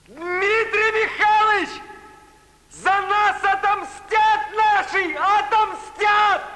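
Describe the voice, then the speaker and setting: An actor playing a condemned prisoner who shouts defiant curses in a film. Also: male voice, very high voice, fairly even intonation, drawn-out words, noisy background, exaggerated voice. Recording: echoey, reverberant film sound.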